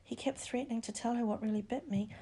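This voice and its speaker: Woman acting an anxious, hoarse sickly schoolboy. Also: medium pitch, little pitch movement, fairly quick, dark tone, lightly acted. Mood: miserable. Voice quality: breathy, hoarse.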